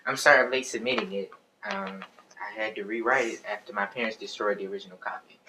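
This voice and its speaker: A man's voice: fairly low pitch, fairly even intonation, fast talker, pushed and bright. An actor in a stage play playing a polite, guarded, apologetic young man who speaks hesitantly. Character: polite, guarded youth entering an essay contest. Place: theatre stage. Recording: distant, echoey, noisy stage recording.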